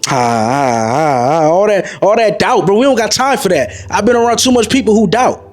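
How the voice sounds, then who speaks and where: A young man in his twenties delivers a motivational talk in a slightly high voice. He preaches and repeats himself, calling the listener bro. Faint music plays behind him. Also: expressive, moderate pace. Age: 20s. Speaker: motivational vlogger. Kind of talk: motivational monologue to camera.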